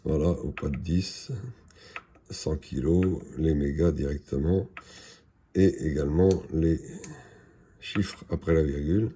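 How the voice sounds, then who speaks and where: A man giving an unscripted product demonstration in a halting, matter-of-fact, explanatory way. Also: very deep voice, fairly even intonation, warm tone, slight background noise. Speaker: product presenter.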